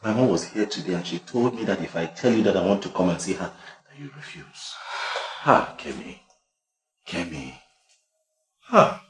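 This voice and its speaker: A man playing a devoted, earnest grown son in a melodrama, speaking in halting, repetitive phrases. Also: deep voice, very animated, fairly bright tone. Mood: agitated, upset. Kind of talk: melodramatic screen acting. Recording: clear background noise, room ambience.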